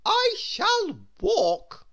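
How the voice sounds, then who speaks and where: A woman voicing a vain, haughty storybook lady who announces things loftily in a loud, important voice. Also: extremely high pitch, huge pitch swings, very slow, pitched above the performer's own voice, heavily acted. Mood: loud, bossy. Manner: lofty, grand, announcing loudly. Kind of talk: children's storybook character voice.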